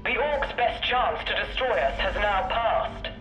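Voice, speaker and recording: An actress playing a noble, dutiful knight leader in a video game, speaking earnestly and formally. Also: female voice, medium pitch, expressive, unhurried, fairly bright tone, highs cut off, soft background music. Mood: weary, grateful. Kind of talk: dramatic video game acting.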